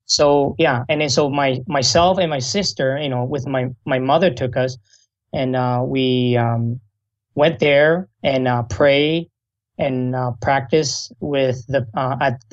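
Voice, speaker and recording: Middle-aged Taiwan-born man, halting speech. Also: mid-range voice, highs cut off. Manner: slow, halting speech with many fillers. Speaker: guest, Buddhist monk. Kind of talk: interview answers.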